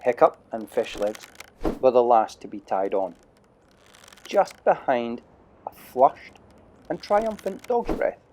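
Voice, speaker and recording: A man narrating a children's storybook audio play with lively, expressive storytelling. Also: medium pitch, slow, long pauses, slight background noise.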